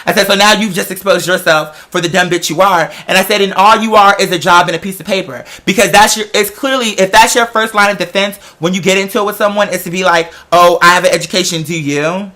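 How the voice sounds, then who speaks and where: A man with an American accent telling a story as a casual, animated rant, sassy and confrontational, with emphatic slang and swearing. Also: sits high, quick pace, few pauses.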